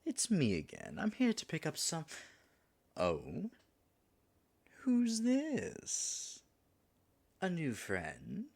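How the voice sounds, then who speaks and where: A man's voice: on the high side, huge pitch swings, extremely slow, vocal fry, pauses often, darkish tone, reverberant, heavily acted. A man acting as an all-powerful, self-conscious dragon in disguise, muttering dry, sardonic asides to himself in a scripted audio roleplay. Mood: feigned politeness.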